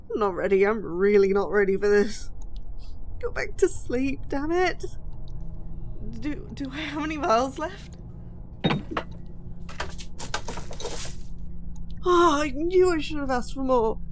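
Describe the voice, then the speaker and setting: A woman acting an apologetic, lovesick kidnapper in an audio roleplay, speaking to the listener in a halting, flustered way. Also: high voice, expressive, slight background noise. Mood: anxious panic.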